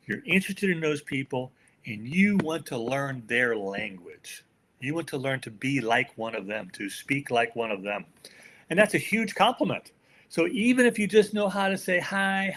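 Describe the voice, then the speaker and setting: A man's voice: a little high, fairly bright tone. An older man with an American accent, giving folksy, opinionated answers as a guest in a conversational interview. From United States. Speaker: podcast guest, author.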